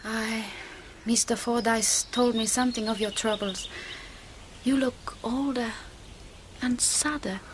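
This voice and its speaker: An actress playing a gentle, devoted young gentlewoman in a period radio drama, speaking softly and breathily. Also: on the high side, fairly even intonation, slow, long pauses, slight background noise. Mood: tender, concerned.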